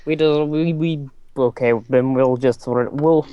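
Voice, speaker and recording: A man playing a tabletop roleplaying game, talking casually in an easygoing, halting way. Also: medium pitch, steady tone, muffled recording, a crowd in the background.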